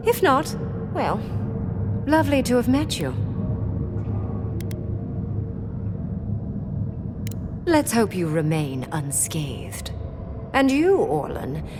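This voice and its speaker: A woman playing an aloof, ancient, powerful sorceress in a video game, haughty and drily teasing in a languid manner. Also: fairly low pitch. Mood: bored amusement. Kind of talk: video game character acting. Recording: loud music behind the voice.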